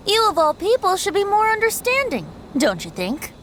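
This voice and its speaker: A woman's voice: very high voice, huge pitch swings, normal speed, fairly bright tone, a little hiss or hum, full character voice. A woman playing a boyish, playful wandering male bard in a video game, theatrical and teasing in manner.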